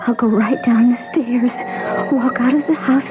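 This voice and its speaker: Woman acting terrified haunted-house victim, radio drama, high-pitched, pleading, loud music behind. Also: fairly even intonation, moderate pace, natural voice. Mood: frightened, pleading. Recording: dull vintage radio recording, loud music.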